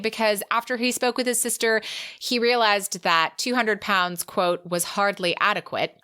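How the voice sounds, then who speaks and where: A woman's voice: mid-range voice, sharp tone, clear, resonant tone. A woman co-hosting a podcast, talking through and reading from a book. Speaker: podcast co-host. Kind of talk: book discussion with plot recap and read quotes.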